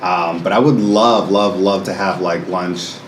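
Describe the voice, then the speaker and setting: A man in his thirties chatting casually as a guest, animated and laughing often, with many fillers. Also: low-pitched, a little slow, slight background noise. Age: 30s. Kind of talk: casual chat. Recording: roomy indoor echo with faint ambience.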